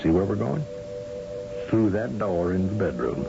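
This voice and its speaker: An actor playing a shrewd, folksy, commanding governor of a space colony in a 1980 radio drama, with hesitant asides and brisk orders. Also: male voice, on the low side, sing-song, vocal fry, over music. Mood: shrewd, confiding. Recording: dull lo-fi old radio broadcast recording.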